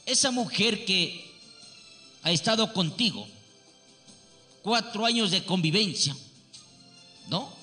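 A man's voice: high-pitched, expressive, slow pace, pauses often, bright, forceful tone. A man hosting a radio healing show, talking in an emphatic, preachy and repetitive way. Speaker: radio faith healer host. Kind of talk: radio healer consultation. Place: radio studio. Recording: radio broadcast with reverb and music.

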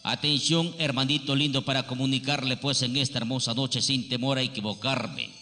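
A man's voice: mid-range voice, fairly even intonation, moderate pace, bright, forceful tone. A man hosting a radio healing show, talking in an emphatic, preachy and repetitive way. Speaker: radio faith healer host. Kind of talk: radio healer consultation. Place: radio studio. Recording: radio broadcast with reverb and music.

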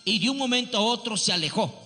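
Bright preachy radio healer man. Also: extremely high pitch, expressive, fast talker. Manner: preachy, emphatic, repetitive. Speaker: radio faith healer host. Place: radio studio. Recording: radio broadcast with reverb and music.

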